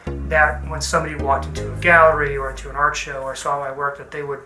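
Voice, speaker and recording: A middle-aged man, an artist talking informally about his own work in a halting, reflective way, often repeating and rephrasing his words. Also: a little high, little pitch movement. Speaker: visual artist. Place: indoor art studio. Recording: roomy echo, music underneath. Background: background music.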